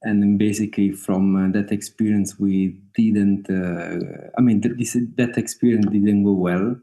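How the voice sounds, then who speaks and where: A man from Italy with a clear Italian accent speaks on a video call in a low, mellow voice. His talk is halting, thick with fillers, and broken by small laughs. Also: fairly even intonation. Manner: hesitant, many fillers, laughs often. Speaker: guest singer-songwriter. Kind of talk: casual interview over video call.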